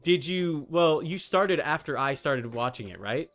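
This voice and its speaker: A man's voice: a little high, expressive, unhurried, fairly bright tone. A man livestreaming a game, chatting informally and teasingly with his viewers and swearing casually. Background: background music.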